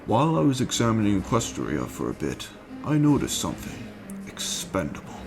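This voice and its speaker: A man acting in an amateur dramatic reading as a scheming, menacing villain bent on conquest, speaking in a measured, formal, commanding way. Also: lowish voice, wildly swooping pitch, creaky, full character voice. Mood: cold, calculating. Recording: music bed under the voice.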